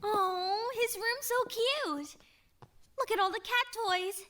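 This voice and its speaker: A woman voice-acting an earnest, anxious young female investigator in an animated mystery, with an expressive delivery. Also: very high voice, slow pace, a little hiss or hum, heavily acted. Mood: delighted.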